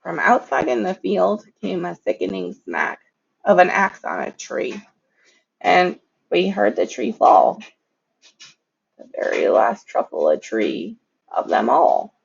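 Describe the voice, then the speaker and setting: A reader giving an expressive, dramatic read-aloud of a rhyming story, now and then stumbling over words. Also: mid-range voice, slow, creaky, pauses often, breathy edge, lo-fi sound.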